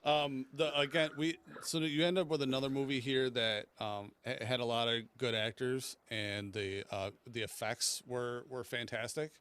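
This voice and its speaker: A man co-hosting a casual film podcast, explaining his views in a halting, measured way. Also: mid-range voice, fairly even intonation, fairly bright tone, clean tone. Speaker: podcast co-host.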